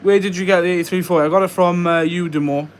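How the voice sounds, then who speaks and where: A man's voice: high voice, steady tone, quick pace, slight background noise. Chatty young man from West Wales. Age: young adult. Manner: chatty, reads viewer questions aloud and mutters while working. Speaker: livestreamer building a model farm. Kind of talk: casual live stream chat. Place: indoor workbench.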